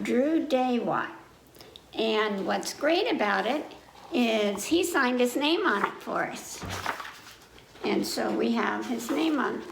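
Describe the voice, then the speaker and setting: A woman hosting a children's story time, reading picture books aloud in an animated way with chatty asides and questions to her listeners. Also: mid-range voice, vocal fry, distant mic. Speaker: children's library storytime host. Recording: echoey room, faint background noise.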